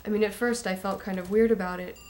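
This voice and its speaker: An uneasy young woman played by a film actress gives her account hesitantly. Her pitch is middling and her delivery conversational, with a little noise in the background. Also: steady tone, fast talker, a little mellow, lightly acted. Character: uneasy young woman recounting family secrets. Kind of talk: naturalistic film acting, interview testimony.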